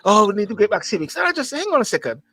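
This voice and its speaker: A man's voice: sits high, very animated, racing, sharp tone, husky. A Jamaican man hosting a live call-in talk show, who speaks emphatically and repeats phrases for stress. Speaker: host.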